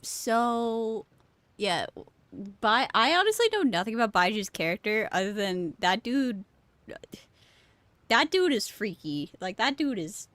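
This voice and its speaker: Female streamer, mid-range voice, very expressive pitch, casual musing reactions to a game at a slow pace. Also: no echo. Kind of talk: casual live gameplay commentary. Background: game music.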